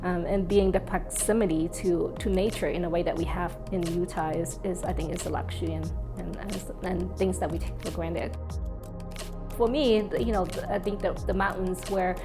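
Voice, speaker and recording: Woman from American Samoa, low-ish voice, easygoing with ums, music underneath. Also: moderate pace. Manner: relaxed, with many fillers. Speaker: interviewee. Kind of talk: documentary interview.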